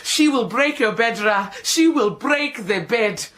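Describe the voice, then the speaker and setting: A woman's voice: on the low side, very animated, a little slow. A proud older housekeeper in a radio play , acted by a woman, theatrical and easily offended. Her voice swoops widely as she protests. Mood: anguished. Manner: theatrical, indignant, wailing. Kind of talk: radio drama acting.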